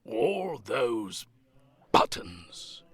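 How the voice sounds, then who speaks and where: An actor playing a brisk, self-important retired soldier in an audio comedy, with a husky, emphatic delivery. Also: male voice, mid-range voice, big pitch swings, no room sound, heavily acted. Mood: taken aback. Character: elderly ex-army man, self-important, brisk, grumbling planner. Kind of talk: audio comedy drama acting.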